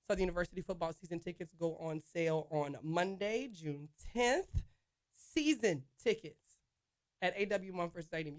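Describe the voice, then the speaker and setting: A woman hosting a sports talk show solo, chatting casually with listeners. She calls them y'all, and her voice is slightly hoarse. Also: lowish voice.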